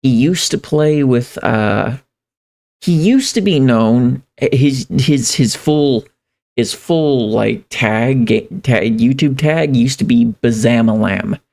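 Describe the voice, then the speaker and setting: Slow, gravelly man chatting on livestream. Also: medium pitch, darkish tone. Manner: rambling, halting, repeats phrases as he thinks aloud. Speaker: live streamer. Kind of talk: casual livestream chat, reading viewer comments.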